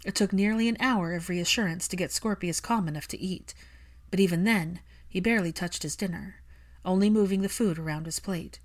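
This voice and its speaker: A woman's voice: on the low side, a little fast. A woman reading scripted fiction aloud as an audiobook narrator.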